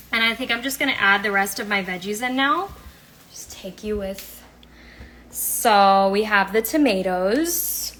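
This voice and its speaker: A woman's voice: mid-range voice, pushed and bright. A young woman hosting a casual cooking demo at home, chatting in an upbeat, rambling way with lots of fillers. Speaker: cooking and health coach host. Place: home kitchen. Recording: noisy kitchen recording. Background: pans and utensils clattering.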